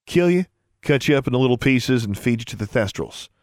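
A man voicing a calm, commanding, sardonic young male wizard in an audiobook, with a patronizing manner. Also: medium pitch, fairly quick, no room sound, clean studio sound, lightly acted. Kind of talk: audiobook character voice.

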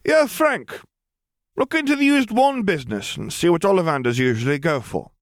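Male narrator as young witch crime boss, raised pitch, mock-polite, theatrical, menacing, dry close recording, audiobook dialogue. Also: big pitch swings, normal speed, no echo. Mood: musing, businesslike. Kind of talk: acted dialogue in fan fiction audiobook.

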